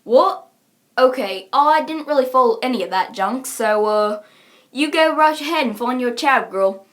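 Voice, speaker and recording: A woman's voice: high-pitched, expressive, normal speed, fairly bright tone. A woman voicing a brash, scheming troll girl with slangy, cocky swagger for a cartoon character reel. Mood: cocky, menacing.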